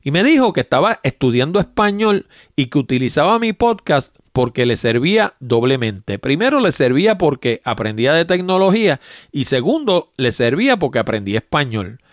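A man's voice: a little high, no echo, clean studio sound. A man in his sixties with a Puerto Rican accent, hosting a tech podcast and answering listener mail in a chatty, explanatory way. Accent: Puerto Rican Spanish. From Puerto Rico. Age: 60s. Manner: chatty, explanatory, plain-spoken.

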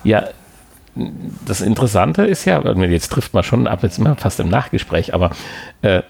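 Gravelly husky older man. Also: lowish voice, very animated, moderate pace, darkish tone. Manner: hesitant, many "äh" fillers, chuckling. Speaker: podcast co-host. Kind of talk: casual chat.

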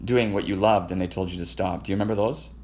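A man's voice: deep voice, fairly even intonation, fast, a little mellow. A Canadian man telling personal stories in casual conversation, profane, rambling and emotionally intense.

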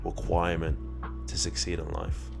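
A young man speaks in a very low-pitched voice with music behind him. His delivery is casual and candid, slipping into slang as he moves between reflection and personal storytelling. He talks informally, as himself. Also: completely flat delivery, moderate pace, creaky, a little mellow. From born in Iraq, moved to Australia as a child. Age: young adult.

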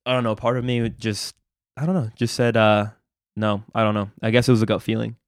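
Gravelly low-voiced man hosting a podcast. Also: fairly even intonation, fairly quick, no room sound, studio quality. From United States. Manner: hesitant, many fillers and restarts. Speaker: podcast host. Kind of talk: casual interview chat.

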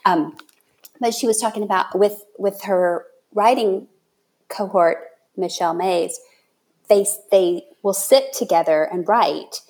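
A woman's voice: mid-range voice, measured pace, darkish tone. A woman hosting a podcast in a casual, chatty style, effusive and encouraging toward her guest. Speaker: podcast host. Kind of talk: casual interview chat.

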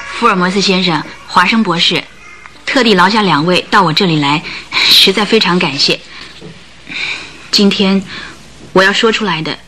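A woman playing a remorseful, well-spoken circus owner's wife in a radio drama, measured and confessional. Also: medium pitch, pushed and bright. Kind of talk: Chinese radio drama acting.

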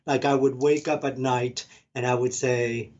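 Husky middle-aged man from Argentina, slightly muffled. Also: medium pitch, little pitch movement, unhurried, darkish tone. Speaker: podcast guest, meditation teacher and professor. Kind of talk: reflective personal storytelling in an interview. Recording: slightly dull, band-limited sound.

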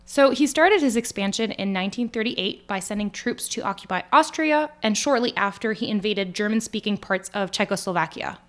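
A woman raised in France co-hosting a history podcast, giving clear, steady scripted narration in an explanatory tone. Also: mid-range voice, fairly quick, few pauses, faint music behind. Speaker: podcast co-host.

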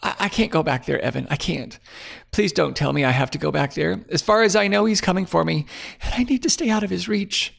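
A man's voice: high-pitched, brisk, creaky, fairly bright tone, voice pushed up, heavily acted. A man voicing a frightened young woman client, pleading and sobbing as she talks.

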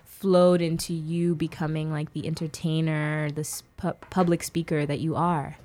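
A woman's voice: deep voice, little pitch movement, mellow. A woman hosting a casual interview podcast, upbeat and laughing easily. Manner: upbeat, laughs often, drawn-out phrasing. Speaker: podcast host.

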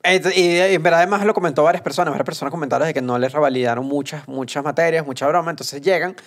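A man's voice: on the high side, very fast. A Venezuelan man with a Venezuelan accent, hosting a casual podcast chat and laughing easily. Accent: Venezuelan Spanish. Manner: relaxed, laughs often, reads out viewer comments. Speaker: podcast host.

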